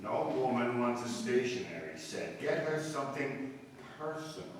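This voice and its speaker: A man's voice: medium pitch, big pitch swings, moderate pace, natural voice. Chatty detective sidekick played by a man, very echoey. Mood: insistent. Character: chatty, homespun married male police detective sidekick. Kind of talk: 1950s radio police drama acting. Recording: distant, echoey hall with long reverb, hiss.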